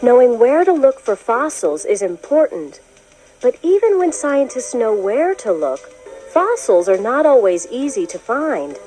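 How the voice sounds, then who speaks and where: Woman, children's read-along narration, slow pace, varied expressive intonation, measured explanatory delivery, music behind. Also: on the high side, rough recording. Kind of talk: scripted storybook narration.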